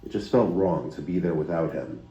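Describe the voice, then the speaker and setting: Deep dark male narrator, steady scripted storytelling, muffled roomy playback. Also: normal speed, soft background music. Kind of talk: scripted first-person narration.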